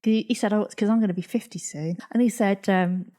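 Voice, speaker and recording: A woman's voice: mid-range voice, steady tone, a little fast, mellow. A woman in her forties, co-hosting a podcast in casual chat, chatty and laughing often. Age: 40s. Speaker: podcast co-host.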